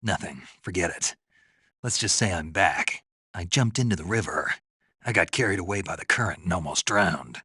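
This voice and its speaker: A man playing a gruff, raspy special forces soldier in a video game, stoic and dryly naive, with a terse, deadpan delivery. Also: low-pitched, normal speed, no room sound, studio quality, full character voice. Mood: terse, focused.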